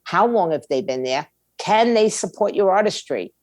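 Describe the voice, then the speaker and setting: A woman's voice: medium pitch, lively intonation, normal speed. A middle-aged woman giving candid, plain-spoken advice as an expert guest in a conversational interview. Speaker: guest, music industry attorney.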